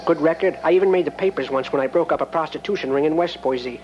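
A man's voice: on the high side, fast talker, highs cut off, close to an everyday voice. An actor narrating as an earnest, naive small-town male cop, melodramatic and jittery. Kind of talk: comic hard-boiled narration.

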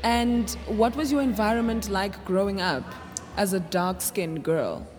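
A woman conducts a relaxed interview, answering her guest with praise and gentle follow-up questions. Her voice is at an average pitch for a woman. Music plays clearly in the background. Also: unhurried. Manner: warm, affirming, full of compliments. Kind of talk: casual interview chat. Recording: music playing behind.

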